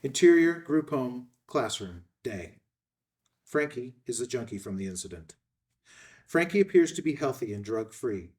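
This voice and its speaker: A man's voice: medium pitch, big pitch swings, normal speed, a little mellow. Male screenplay narrator; mid-range voice, scripted stage directions, somewhat far from the mic.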